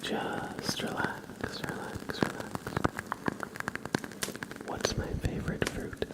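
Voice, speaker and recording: A man hosting an ASMR livestream, chatting casually to viewers in a hushed, soothing whisper. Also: slow, rough recording. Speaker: livestream host.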